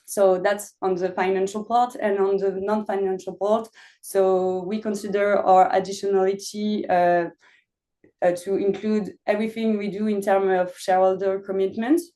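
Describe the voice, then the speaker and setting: A woman's voice: medium pitch, flat delivery, mellow. French-accented woman, hesitant webinar speaker. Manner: hesitant, many pauses with "uh". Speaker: impact investment officer, panelist. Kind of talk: webinar panel remarks. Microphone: video call.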